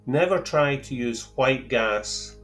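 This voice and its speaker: A man with a Scottish accent explaining fuel terms to camera in a careful, deliberate way, with a lightly wry touch. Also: medium pitch, expressive, a bit bright, soft background music. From Scotland. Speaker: outdoor gear channel host. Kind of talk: measured explanatory talk to camera.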